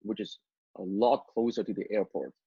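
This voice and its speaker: A man from Hong Kong whose first language is Cantonese, speaking as an interview guest and telling his story in halting sentences with many repeated words and fillers. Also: fairly low pitch, warm tone, slightly rough, no room sound, muffled recording. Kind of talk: testimony interview.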